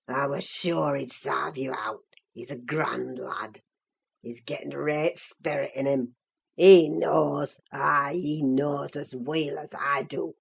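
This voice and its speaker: A woman voicing a malicious old Yorkshire manservant in an audiobook, with a croaking, muttering, mocking delivery. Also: deep voice, steady tone, slow, pitched below the performer's own voice, exaggerated voice. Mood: gleeful malice. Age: older. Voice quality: croaking, crackly, breathy. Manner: muttering, mocking dialect speech. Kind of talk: audiobook character voice.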